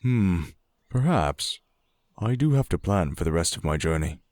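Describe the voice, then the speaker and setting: A man acting as a contemplative druid of the mountains, very low in pitch, with lively swings of intonation. He speaks formally and thoughtfully, as in a fantasy audio drama, in a dry, close recording. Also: dry recording. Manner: measured, formal, musing.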